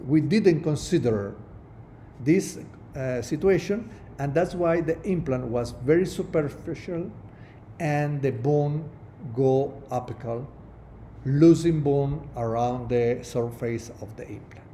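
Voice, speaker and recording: Middle-aged Spanish-speaking prosthodontist lecturing online; mellow, warm tone, stop-start delivery. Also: male voice, mid-range voice, a little hiss or hum. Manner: halting non-native English with many restarts. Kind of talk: webinar lecture over slides.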